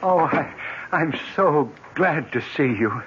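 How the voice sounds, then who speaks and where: A man's voice: fairly high pitch, lively intonation, a bit bright. An actor playing a kindly, grieving old father who stammers and repeats himself in an old-time radio drama. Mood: fond, flustered. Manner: halting, stammering, repeating words. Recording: dull, faintly noisy vintage radio recording.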